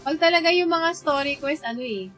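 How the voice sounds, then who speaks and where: A Filipino-accented woman livestreaming gameplay in a high voice. She chats casually and reacts as she goes, with faint music in the background. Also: fast talker, fairly bright tone. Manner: reactive, reads game text aloud. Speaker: game livestreamer. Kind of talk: live gameplay commentary, casual chat.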